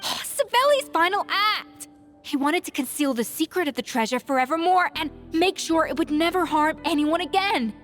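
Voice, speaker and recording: Proud young game heroine, earnest, emphatic, high pitch, animated intonation, music behind. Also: female voice, moderate pace. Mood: earnest realization. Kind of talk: video game voice acting.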